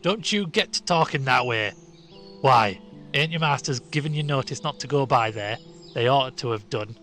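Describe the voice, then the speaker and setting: Grave old rural shepherd as a male narrator puts him on, broad country speech, loud and weighty, faint music underneath. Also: medium pitch, lively intonation, moderate pace. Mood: grave warning. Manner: broad country dialect, dropped words.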